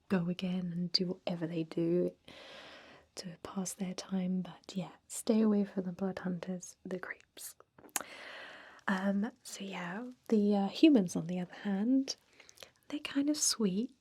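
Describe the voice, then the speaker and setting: Woman doing chatty cynical vampire lady, asmr roleplay, slow breathy whisper, kinda gossipy. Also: mid-range voice, darkish tone. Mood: casual, advising. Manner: casual, gossipy, hesitant filler-laden asides. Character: chatty, cynical female vampire blood-lab worker training a newcomer.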